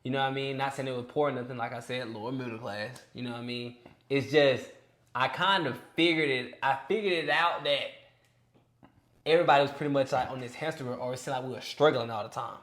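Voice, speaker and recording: A man's voice: medium pitch, fast, a bit bright. A man in his twenties talking casually on a podcast, loose and earnest, often adding "you feel me" and "bro". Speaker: podcast speaker. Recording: echoey room.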